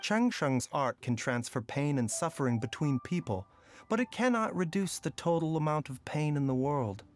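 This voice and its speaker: A man voicing a composed physician in a video game who speaks in a measured, calm and reflective way. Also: on the high side, close to an everyday voice. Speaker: doctor.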